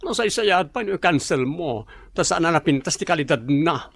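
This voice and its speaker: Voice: mid-range voice, expressive, normal speed, vocal fry. An actor playing a sassy, campy young coworker who is always broke, bantering and complaining in an Ilocano comedy radio drama. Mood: grumbling.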